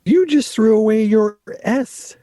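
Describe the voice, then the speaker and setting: An actor playing a competitive, irritable husband in a radio play, with a testy, literal-minded manner. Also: male voice, high voice, a little slow, mellow, dry recording, clean studio sound. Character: competitive, irritable husband who plays to win.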